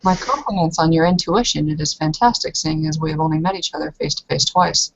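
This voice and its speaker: A woman playing a guarded young woman who defies her clan, speaking in a formal, measured way. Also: low-pitched, gravelly, highs cut off, a little hiss or hum. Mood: coolly defiant.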